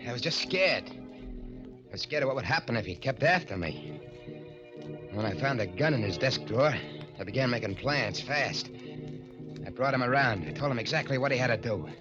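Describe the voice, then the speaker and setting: An actor playing a tough, jumpy escaped killer in a 1940s radio crime drama, talking in insistent, uneducated street slang. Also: male voice, mid-range voice, animated, moderate pace, long pauses, close to an everyday voice. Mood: confessional, narrating. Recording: dull old radio recording, music behind.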